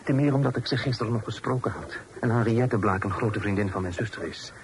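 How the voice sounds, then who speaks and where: A man playing a well-bred, earnest young gentleman in a period radio drama, formal and prone to stammering when flustered. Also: medium pitch, fast, natural voice. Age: young adult. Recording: noisy old radio drama recording.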